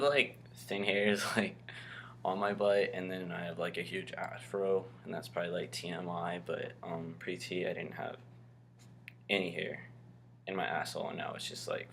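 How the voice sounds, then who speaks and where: A man's voice: low voice, gravelly, a bit bright, slight background noise. A young man talking casually to his camera in a vlog, rambling with lots of ums and likes. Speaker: vlogger.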